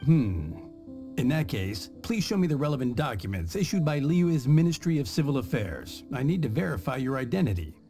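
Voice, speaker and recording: A formal, by-the-book guard. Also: male voice, medium pitch, sing-song, a little fast. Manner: formal, by-the-book, hesitant when unsure. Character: cautious, rule-bound male gate guard. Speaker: city guard. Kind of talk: video game voice acting. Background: soft game music.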